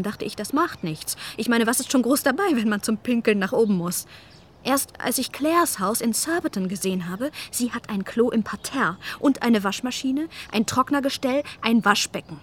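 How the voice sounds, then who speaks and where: A woman's voice: fairly high pitch, fairly bright tone, slight background noise, natural voice. An actress playing a fussy suburban mother of two who rambles fretfully about her house in a radio drama.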